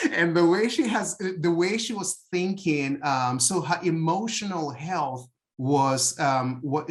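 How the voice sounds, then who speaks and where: A middle-aged man with a Nigerian accent, a pastor sharing a personal testimony in an earnest, halting way with many fillers. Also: on the high side. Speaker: outreach pastor and health coach.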